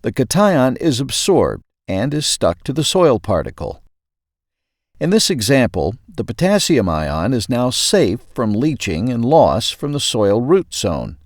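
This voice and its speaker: Lively, unhurried man narrating an article. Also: mid-range voice, no echo, clean studio sound. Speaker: host reading an audio magazine article. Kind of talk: scripted narration.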